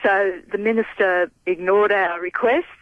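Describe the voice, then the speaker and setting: Over a thin, dry phone line, a woman with an Australian accent gives an interview comment as a local resident. Her pitch is average. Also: fairly even intonation, a little slow, dry recording. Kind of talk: spoken news interview comment.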